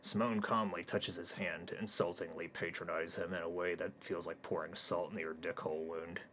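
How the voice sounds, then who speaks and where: A man reading his own crude comic story in a deadpan narrating style. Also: deep voice, brisk, a little hiss or hum. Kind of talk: comic stream-of-consciousness narration.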